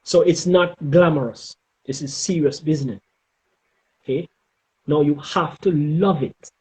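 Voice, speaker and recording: A middle-aged man with a Jamaican accent giving advice in an interview, speaking emphatically and deliberately and often checking in with "okay?". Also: a little high, darkish tone, poor audio. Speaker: interview guest, former air traffic controller.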